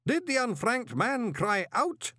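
A man voicing a pompous ceremonial lodge master in an audiobook, intoning solemnly in a booming voice. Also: wildly swooping pitch, slow, bright, forceful tone, dry recording, studio quality, full character voice. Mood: demanding, intoning. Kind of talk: audiobook character voice.

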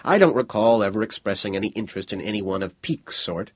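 A man's voice: fairly low pitch, expressive, a little fast, husky. A man voicing an irritable, haughty male library official in an audiobook, speaking in a curt, brusque manner. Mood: irritated. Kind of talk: audiobook character voice.

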